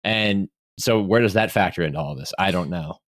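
A man's voice: sits low, normal speed, dry recording, clean studio sound. A man co-hosting a motorsport podcast, chatting casually and joking with lots of laughs. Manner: animated, laughs often, jokey asides. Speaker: podcast co-host. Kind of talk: casual chat.

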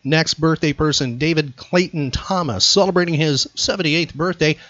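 A man's voice: a little high, normal speed, a bit bright, no room sound, muffled recording. A man hosting a podcast trivia quiz, putting questions to a contestant. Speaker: podcast host and quizmaster.

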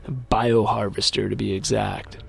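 A man playing a mech pilot and sole survivor, narrating his account in a measured first-person audio drama style. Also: lowish voice, little pitch movement, vocal fry, husky, heavily acted. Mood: grim, reflective.

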